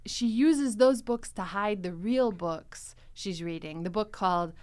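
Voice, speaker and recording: A woman podcast host in relaxed conversation with mid-range pitch. She tells stories with animation, slipping into quoted lines and a giggly delivery. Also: unhurried. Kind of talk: casual chat about a comedy drama podcast.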